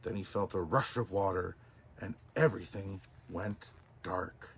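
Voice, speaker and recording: A man narrating a children's storybook as Santa, in an expressive, deliberate way. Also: deep voice.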